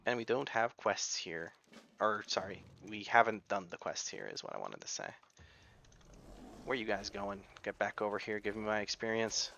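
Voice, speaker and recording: Bright-voiced American man chatting over gameplay. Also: fairly low pitch, steady tone, normal speed, creaky, slight background noise. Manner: chatty, rambling, self-correcting. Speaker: gaming YouTuber. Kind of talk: casual gameplay commentary.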